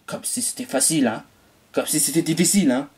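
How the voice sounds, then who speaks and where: A performer voicing a gruff, menacing, bad-tempered male pig villain in an amateur cartoon, foul-mouthed and threatening. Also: medium pitch, brisk, a little mellow, lightly acted. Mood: grumpy.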